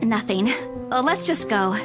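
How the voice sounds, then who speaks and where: Exasperated, high-voiced young woman. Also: lively intonation, unhurried. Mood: evasive. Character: exasperated young woman, guarded and brisk with her friend. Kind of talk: visual novel voice acting. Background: guitar music.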